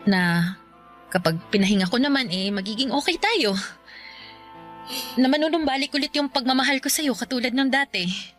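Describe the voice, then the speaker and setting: Young working girlfriend, tired and irritated, highish voice, emotional radio drama, music underneath. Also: expressive, normal speed, pauses often, fairly bright tone. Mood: hesitant, sorrowful. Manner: emotional, confrontational. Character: weary, irritated young working woman falling out of love. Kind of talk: Tagalog radio drama acting. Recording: music bed behind the voice.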